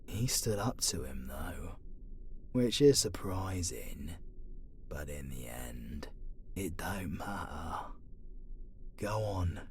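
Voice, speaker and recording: A man with a British accent playing a gruff, loyal young demon warrior, wounded and protective, with rough colloquial speech, in a fantasy audio drama. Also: low-pitched, lively intonation, slow pace, long pauses, a little hiss or hum. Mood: hushed, resigned. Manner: rough colloquial speech, calls listener dearie. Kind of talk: fantasy audio roleplay acting, intimate ASMR.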